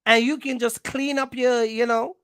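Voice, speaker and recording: A woman's voice: fairly high pitch, steady tone, moderate pace, fairly bright tone, smooth, no echo, clean studio sound. A woman hosting an informal explainer for viewers, speaking bluntly and emphatically in colloquial, slangy language and repeating phrases for stress. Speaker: trucking channel host giving advice.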